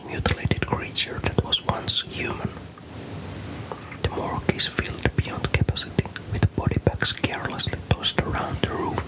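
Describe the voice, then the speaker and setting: Male ASMR storyteller, fully whispered delivery, soft and breathy, calm deliberate narration. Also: very high-pitched, poor audio. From Finland.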